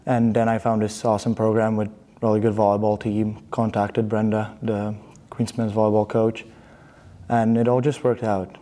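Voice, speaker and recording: Young man, from Bulgaria, mellow warm tone, halting casual answers, studio interview. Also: lowish voice. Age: young adult. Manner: relaxed, halting with fillers and restarts. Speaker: student athlete interviewee. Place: studio in front of a banner.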